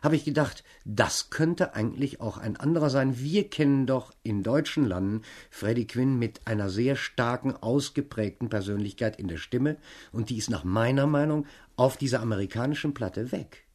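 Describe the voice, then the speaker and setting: A man's voice: medium pitch, moderate pace, darkish tone. A man interviewing a guest on the radio, posing articulate questions in full sentences.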